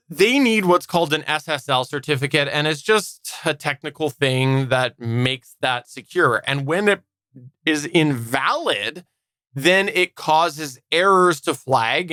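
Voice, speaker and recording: A man's voice: a little high, sharp tone, dry recording. A man co-hosting a podcast, explaining things in an informal, conversational way. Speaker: podcast co-host. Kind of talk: casual explanatory podcast talk.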